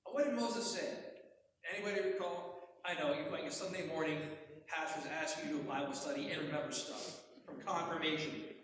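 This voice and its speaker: A male pastor preaching a sermon in a church, conversational and wry, getting excited as he preaches. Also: fairly high pitch, a little fast, a little hiss or hum. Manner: gets excited and hard to follow when preaching. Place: church sanctuary. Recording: echoey hall, voice from far off.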